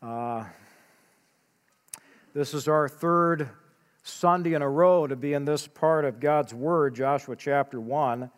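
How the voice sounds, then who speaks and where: A man leading a church service as pastor, praying and preaching in a measured way with frequent hesitant pauses. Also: on the high side, clear, resonant tone. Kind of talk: church announcement, prayer and sermon. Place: church sanctuary. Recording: long church hall reverb.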